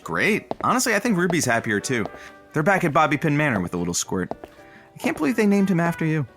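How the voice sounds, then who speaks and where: An actor playing a wry, loyal radio producer who banters in a casual, teasing, slangy way. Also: male voice, on the high side, huge pitch swings, fast, fairly bright tone, faint music behind, full character voice. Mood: gossipy, flustered. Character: wry, loyal radio producer and station owner, the host's friend. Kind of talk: casual audio-drama banter.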